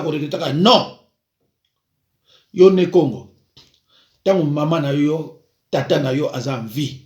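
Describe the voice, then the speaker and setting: A man, a pastor, giving an informal preaching talk, moving between calm advice and lively storytelling. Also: a little high, echoey room.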